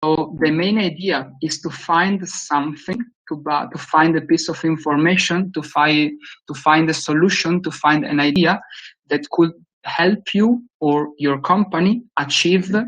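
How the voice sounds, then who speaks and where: A man from Italy with a strong Italian accent, speaking English as a guest speaker in a webinar Q&A, with frequent pauses and restarts. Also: on the high side, fairly bright tone, low-quality recording. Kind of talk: webinar Q&A answer.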